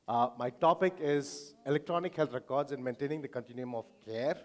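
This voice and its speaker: A man's voice: mid-range voice, brisk. A man with an Indian accent giving an explanatory conference talk as a physician, addressing the audience informally with everyday examples. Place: hotel conference hall, speaking to an audience.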